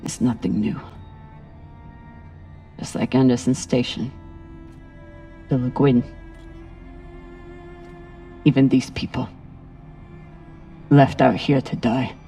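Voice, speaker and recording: A woman's voice: rumbling low, steady tone, takes it slowly, long pauses, warm tone, heavily acted. Hardened space captain, female, bitter, terse, grim, sci-fi game drama, faint music. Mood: weary bitterness. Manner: terse, grim, weighty delivery. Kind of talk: dramatic video game acting.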